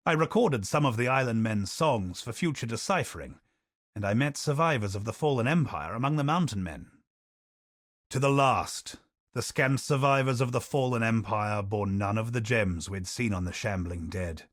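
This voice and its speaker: An actor playing a solemn male chronicler of a doomed colony, in a grave, measured manner of narrating, recorded dry. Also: mid-range voice, expressive, no echo, close to an everyday voice. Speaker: game character narrating lore. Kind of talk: scripted lore recitation.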